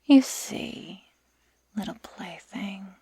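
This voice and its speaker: A woman voicing a sadistic, taunting werewolf girl in a breathy whisper for an ASMR roleplay, speaking slowly and deliberately with mock-sweet menace. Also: mid-range voice, lively intonation, mellow. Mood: sadistic, gloating.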